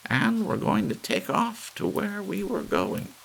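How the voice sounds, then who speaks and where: A man voicing a resolute, wistful elderly woman in an audiobook story, with a gentle, measured delivery. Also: high-pitched, wildly swooping pitch, creaky, pitched above the performer's own voice, heavily acted, rough recording. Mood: firm. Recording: faint hiss under the voice.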